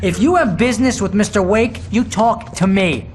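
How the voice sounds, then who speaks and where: An actor playing a brash, blustering, pushy but loyal talent agent in a video game. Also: male voice, high-pitched, normal speed, slight background noise, lightly acted. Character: brash, excitable, fast-talking male agent, loyal and pushy.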